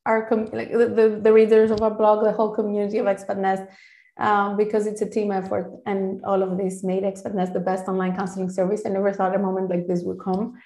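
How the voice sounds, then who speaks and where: A woman whose first language is Greek, a guest speaking quickly and eagerly in a podcast interview, often restarting her phrases. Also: mid-range voice, monotone, dark tone, roomy sound. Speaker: guest, founder of a counselling service.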